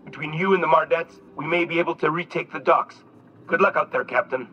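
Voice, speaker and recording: An actor playing a desperate, excitable male security officer in a video game, talking in urgent, blustering exclamations. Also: fairly high pitch, a little fast, highs cut off, slight background noise, close to an everyday voice. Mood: rallying, hopeful.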